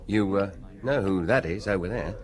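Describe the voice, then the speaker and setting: A man's voice: low voice, moderate pace, close to an everyday voice. An actor playing a friendly, gossipy bartender in a radio drama, chuckling as he talks. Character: chatty, gossipy male bartender. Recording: crowded bar murmur behind.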